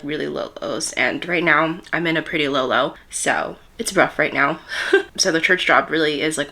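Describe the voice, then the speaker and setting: A woman in her late twenties chatting candidly while doing her makeup, slightly hoarse and congested from a cold, in a soft, rambling way. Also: lowish voice. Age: 20s. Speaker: vlogger. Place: at home.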